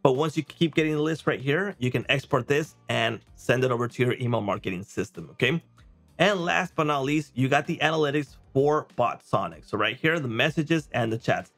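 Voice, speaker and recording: A man in his thirties hosting a casual software walkthrough, conversational, often checking in with a quick okay or right. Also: medium pitch, animated. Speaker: software tutorial host. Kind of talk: casual screen-share walkthrough. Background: music.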